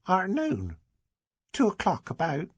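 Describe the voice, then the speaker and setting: A man voicing a young village boy with a Dorset accent, talking in a high treble with rustic dialect. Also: wildly swooping pitch, a little slow, slightly rough, no room sound, lo-fi sound, exaggerated voice. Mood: sulky. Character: small tearful village boy, cheeky, playing tough.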